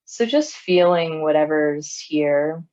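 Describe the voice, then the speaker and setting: A woman leading a guided body scan meditation in a calm, gentle, unhurried manner, with long pauses. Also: deep voice, dull audio. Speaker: mindfulness meditation instructor.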